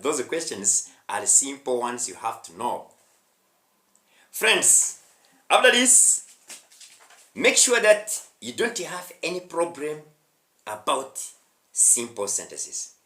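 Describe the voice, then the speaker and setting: A man's voice: a little high, a bit bright, reverberant. Emphatic Rwandan man teaching English. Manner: emphatic, checks in with "huh?" and "hmm?". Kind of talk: spoken English lesson.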